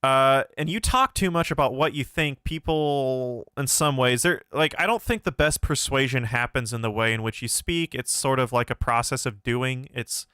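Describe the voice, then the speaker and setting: A man's voice: medium pitch, normal speed, hardly any pauses, pushed and bright, clean tone, dry recording. A young man hosting a philosophy livestream, answering chat questions in a casual, thoughtful way with many fillers and restarts, his voice a bit nasal. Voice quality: somewhat nasal.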